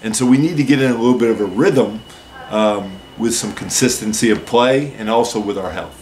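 Measured lowish-voiced coach at a press table. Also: male voice, a little mellow, slight background noise, poor audio. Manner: measured, explanatory, many "uh" and "so" openers. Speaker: head basketball coach. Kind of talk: postgame press conference answers. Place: press room with a team backdrop, seated at a table.

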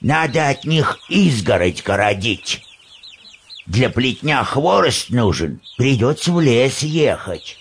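An actor playing a grumbling old village grandpa in a cartoon, gravelly, muttering folksy complaints. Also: male voice, mid-range voice, sing-song, takes it slowly, a little hiss or hum, full character voice. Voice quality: creaky, gravelly.

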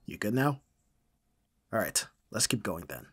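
A man's voice: on the low side, very animated, unhurried, a bit bright, slightly rough, dry recording, exaggerated voice. A man playing a caring but easily exasperated young roommate in an ASMR roleplay audio drama, casual and dryly bantering. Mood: concerned.

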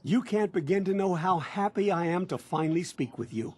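Eager, high-pitched, husky salesman. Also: animated, fairly quick, darkish tone. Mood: eager, urgent. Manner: effusive, polite, ingratiating. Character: eager, fast-talking male door-to-door salesman, pushy and cheerful. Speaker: door-to-door vault salesman. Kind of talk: salesman pitch in a video game.

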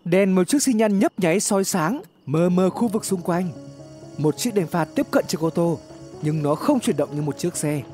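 A man reading scripted narration for an animated horror explainer, telling it in a measured, dramatic way. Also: high-pitched, faint music behind.

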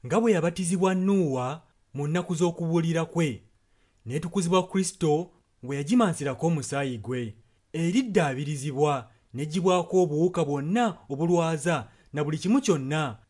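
A Ugandan man serves as a sermon interpreter. His voice sits a little high for a man, and he delivers the preaching in short translated passages. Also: brisk, a little mellow.

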